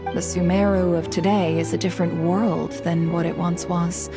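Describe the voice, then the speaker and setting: A woman's voice: on the low side, flat delivery, moderate pace, over music, natural voice. A woman playing a composed, shrewd guild leader in a video game, with a cool, casually assured delivery. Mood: hopeful, earnest. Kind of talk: dramatic video game voice acting.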